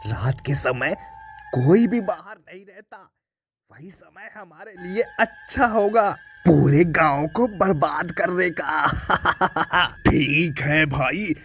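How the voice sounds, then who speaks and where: Deep menacing cartoon ghost voiced by a narrator, gloating, slow, faint music behind. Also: a bit bright. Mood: plotting. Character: two evil male ghost brothers, gloating. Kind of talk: cartoon voice acting.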